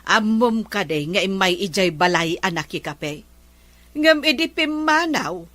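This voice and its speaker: A woman's voice: medium pitch, animated, unhurried, a bit bright, dry recording. A woman acting a gossipy village woman in a comedy radio drama, with a Filipino accent. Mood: gossiping.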